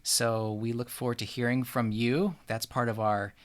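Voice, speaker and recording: A man's voice: medium pitch, little pitch movement, moderate pace. American man hosting a casual podcast. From United States. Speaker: podcast host.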